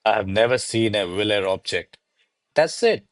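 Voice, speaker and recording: Man as nervous obedient manservant, lowish voice, formal, placating, theatrical radio drama, dry recording. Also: lively intonation, moderate pace, no room sound, lightly acted. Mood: earnest, excuse-making. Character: deferential, flustered male servant of a noble lady. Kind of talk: radio play acting of a classic comedy.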